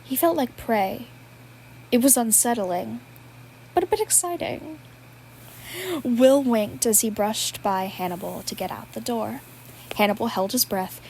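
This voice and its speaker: A woman's voice: on the high side, darkish tone, slight background noise, rough recording. A woman reading fan fiction aloud, breaking into laughs as she goes. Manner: laughs at the text while reading. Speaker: podfic reader.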